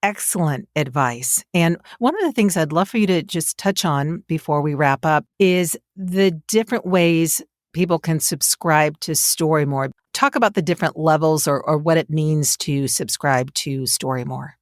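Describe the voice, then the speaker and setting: A woman's voice: low-pitched, moderate pace, hardly any pauses, dry recording, clean studio sound. A woman hosting a podcast, interviewing in a casual, conversational and encouraging way.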